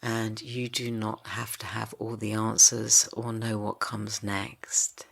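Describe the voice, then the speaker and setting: A man guiding a yoga nidra rest for grief, speaking slowly and softly, drifting into whispers. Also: on the low side, monotone, creaky. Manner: slow, soft and soothing, drifting into whispers. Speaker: meditation guide. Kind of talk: guided yoga nidra meditation.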